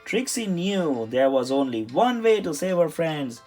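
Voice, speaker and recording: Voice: medium pitch, very animated, measured pace, a little mellow. A children's storybook reader giving a scripted read-aloud narration. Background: music under the voice.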